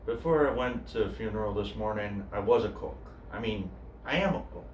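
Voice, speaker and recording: A man performs a subdued, grieving cook in a naturalistic dramatic monologue. He speaks quietly and hesitantly, with broken pauses. The room around him is echoey, with faint noise. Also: lowish voice, recorded from a distance, pitched below the performer's own voice, lightly acted. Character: grieving male cook, subdued, haunted by his brother. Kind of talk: dramatic monologue, naturalistic screen-style acting.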